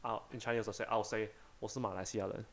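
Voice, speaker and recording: A young man co-hosting a podcast, chatting in a relaxed, conversational way. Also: lowish voice, very fast. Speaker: podcast co-host. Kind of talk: casual chat.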